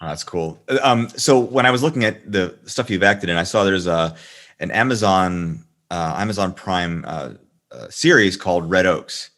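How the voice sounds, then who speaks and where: A man hosting a casual interview, asking halting questions that repeat words. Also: deep voice, fairly bright tone. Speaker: host.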